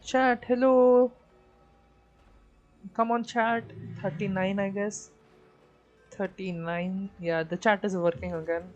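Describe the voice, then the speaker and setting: A woman live-streaming a game, chatting away as she plays. She thinks aloud, restarts her sentences while she counts things up, and keeps turning to her viewers for input. Her pitch sits in an ordinary middle range. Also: steady tone, slow pace, long pauses, a little mellow, highs cut off, soft background music. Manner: thinks aloud, talks to chat. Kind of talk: casual live-stream commentary.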